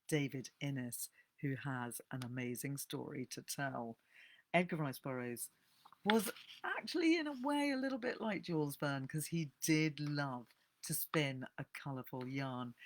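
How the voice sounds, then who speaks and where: A woman talks informally about books to a listening audience. She is chatty and unhurried in feel, often pausing on "um" and correcting herself mid-phrase as she goes. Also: sits low. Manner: chatty, with frequent ums and self-corrections. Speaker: speaker giving a talk on books. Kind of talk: informal literary talk to an audience.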